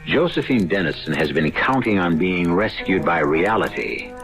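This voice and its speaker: An actor playing a wry, ominous storyteller hosting an old radio mystery, with a deliberate, dramatic delivery. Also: male voice, low voice, lively intonation, a bit bright. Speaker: host and narrator of the drama series. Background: dramatic music.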